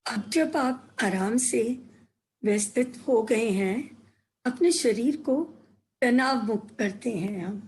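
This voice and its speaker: Female meditation guide, slightly high register, very slow delivery, gentle phrase-by-phrase instruction.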